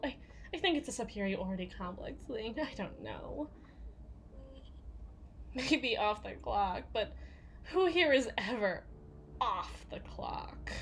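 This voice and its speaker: A woman playing a jaded, foul-mouthed bodyguard confiding in a partner in an intimate ASMR roleplay, halting and often sinking into whispers. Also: fairly high pitch, fairly bright tone, slight background noise, exaggerated voice. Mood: wry, regretful.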